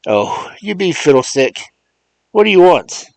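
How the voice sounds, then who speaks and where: A man voicing a brusque, impatient gentleman calling out over a telephone. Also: sits high, very animated, a little slow, dry recording, pitched above the performer's own voice, exaggerated voice, poor audio. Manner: curt, scolding. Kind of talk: audiobook character voice.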